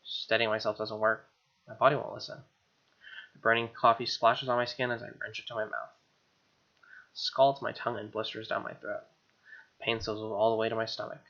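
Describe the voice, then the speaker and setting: A man reading visual novel narration aloud as a let's player, expressive, with casual asides and reactions. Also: on the low side, fairly quick, pauses often. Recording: dull lo-fi mic, music clearly behind. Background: game music.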